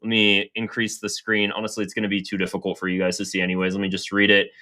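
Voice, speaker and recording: A man's voice: lowish voice, monotone, fast, sharp tone. A man talking casually to his viewers as a political commentator, conversational, sometimes stumbling over and repeating his words. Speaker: online political commentator and host. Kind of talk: casual news commentary, reading quotes aloud to viewers.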